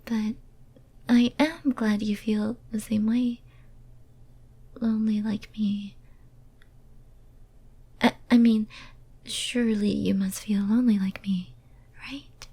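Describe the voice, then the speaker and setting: A woman's voice: mid-range voice, steady tone, slow, pauses often. Whispering lonely, clingy demon woman played by an actress. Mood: yearning, resentful. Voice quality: soft, breathy whisper. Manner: tender, intimate, hesitant. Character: lonely, clingy, obsessive female demon sealed away. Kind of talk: whispered ASMR roleplay.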